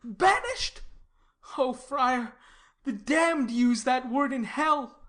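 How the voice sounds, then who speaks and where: Shakespeare audio drama: lovesick male youth voiced by a woman, high-pitched, distraught, theatrically anguished. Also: expressive, slow pace, fairly bright tone, heavily acted. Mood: anguished, bitter. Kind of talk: classical stage tragedy in audio.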